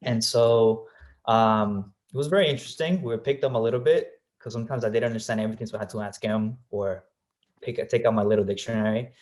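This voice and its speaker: Interview guest: young man from Colombia, lowish voice, reflective, lots of ums. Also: fairly even intonation, quick pace, darkish tone. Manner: thoughtful, many fillers and restarts. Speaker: guest, real estate agent. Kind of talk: casual interview answers.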